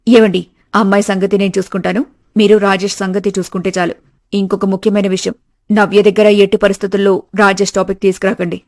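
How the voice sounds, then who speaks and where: A woman voicing a confident, motherly and slightly crafty middle-aged aunt in an animated story. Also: medium pitch, fast talker, no room sound, clean studio sound, lightly acted. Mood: confident, scheming. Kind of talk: animated moral story dialogue.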